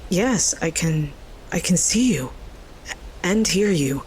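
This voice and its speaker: A woman playing a gentle, earnest, frightened girl in a fantasy audio drama, speaking hesitantly and breathily. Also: on the low side, expressive, slow, a little hiss or hum, rough recording. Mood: awed, hesitant.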